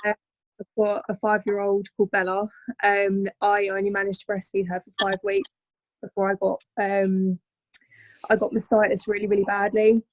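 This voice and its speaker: A woman in her early twenties speaking on a video call as a guest, halting with frequent ums. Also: mid-range voice, flat delivery, dry recording, dull audio. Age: 20s. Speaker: guest mother. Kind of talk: casual video call chat.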